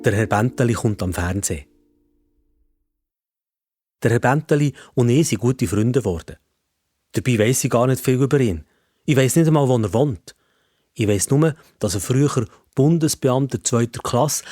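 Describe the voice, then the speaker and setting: A man's voice: medium pitch, normal speed, warm tone, no room sound, clean studio sound. A man with a Swiss German accent narrating a scripted story for children.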